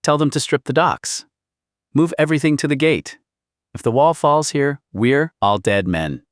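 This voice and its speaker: Man playing an urgent, battle-worn garrison commander, raised pitch. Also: animated, moderate pace, dry recording, studio quality, close to an everyday voice. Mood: panicked, urgent. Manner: urgent, barking orders.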